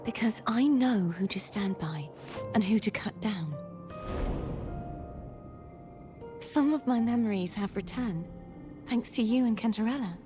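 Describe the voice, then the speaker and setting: A woman playing a solemn, resolute young female warrior in a video game, speaking in an earnest, measured, breathy way. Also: on the high side, music in the background, lightly acted. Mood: quietly resolved.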